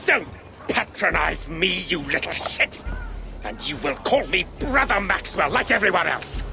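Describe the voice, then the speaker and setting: An actor playing an eccentric, cranky old monk in a video game, with a cryptic, grandiose manner. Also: male voice, high-pitched, lively intonation, a little slow, gravelly, background noise, exaggerated voice. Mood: indignant. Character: eccentric cranky old uncle, mystical herb-burning monk. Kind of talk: dramatic video game acting.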